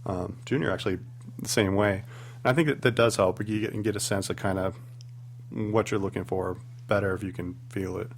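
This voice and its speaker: A man's voice: on the low side, fairly even intonation, fairly quick. A man on a paranormal investigation team, a radio show guest, speaking hesitantly and candidly with many "um"s. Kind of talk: casual radio interview.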